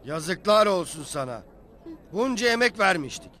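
A high, forceful male voice for a spiteful, bullying older brother in a cartoon. He sounds harsh, accusing and scheming. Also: big pitch swings, moderate pace, heavily acted. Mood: angry, accusing. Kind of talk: cartoon dubbing.

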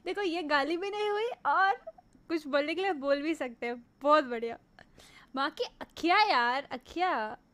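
A woman's voice: high voice, moderate pace, sharp tone. A woman in her twenties with an Indian accent reacting casually as a streamer, amused and repeating phrases back. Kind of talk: casual live reaction, mixing Hindi with some English. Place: indoor room at a streaming setup.

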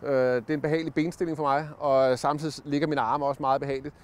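A man's voice: mid-range voice, little pitch movement, clear, resonant tone. A man in his forties giving a casual product review on a campsite lawn, talking with many fillers and restarts into a lapel mic. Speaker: product tester.

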